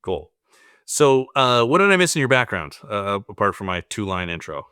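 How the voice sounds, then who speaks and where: A man's voice: fairly low pitch, big pitch swings, moderate pace, bright, forceful tone, smooth, no room sound, studio quality. A man hosting a business podcast, introducing and questioning his guest in an upbeat, conversational way. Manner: upbeat, conversational with frequent fillers. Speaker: podcast host. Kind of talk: casual interview chat.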